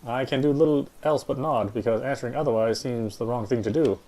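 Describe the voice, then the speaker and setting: Guy reading visual novel narration out loud, mid pitched voice. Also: fairly quick. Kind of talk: reading first-person story narration aloud.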